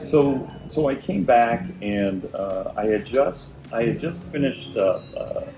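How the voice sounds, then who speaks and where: A middle-aged man telling his story as an interview guest, slightly raspy, with lots of restarts and fillers. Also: medium pitch, lively intonation, takes it slowly, noisy background. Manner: hesitant, many restarts and fillers. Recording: echoey room with music behind.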